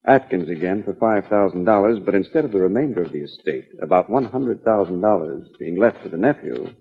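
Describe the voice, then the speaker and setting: A guarded financial man from forties radio drama, speaking in a deep, husky voice. He is curt and businesslike, as if he has little time to spare. The sound is thin and tinny. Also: lively intonation, fairly quick, full character voice. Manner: curt, matter-of-fact. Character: busy, reluctant male financial advisor, guarded but businesslike. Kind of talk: 1940s radio drama acting. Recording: tinny, narrow-band 1940s radio recording.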